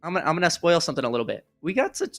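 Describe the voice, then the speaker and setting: Man, higher-pitched voice, esports host, enthusiastic, rambling casual banter, self-deprecating, faint music behind. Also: very animated, racing, fairly bright tone. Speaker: esports broadcast host and caster. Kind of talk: casual live esports desk chat.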